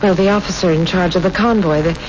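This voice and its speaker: A woman's voice: fairly low pitch, steady tone, fast, close to an everyday voice. A woman acting in a 1970s radio drama as a frightened young woman, stammering and imploring. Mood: weary, confiding. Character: frightened, pleading young woman in desperate straits. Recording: muffled, noisy old radio recording.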